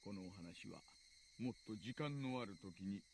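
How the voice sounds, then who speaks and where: Smug older detective, swooping pitch. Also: male voice, animated, fast, darkish tone, a little hiss or hum. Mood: smug courtesy. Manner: drawling, mock-polite, probing. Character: smug, politely menacing older male police detective. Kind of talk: Japanese visual novel voice acting.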